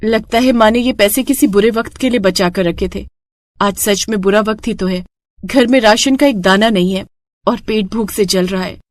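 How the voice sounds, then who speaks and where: A woman's voice: medium pitch, moderate pace, no room sound, clean studio sound, natural voice. A woman voicing a poor, orphaned young village woman, earnest, plaintive and polite, in a cartoon moral story. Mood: worried.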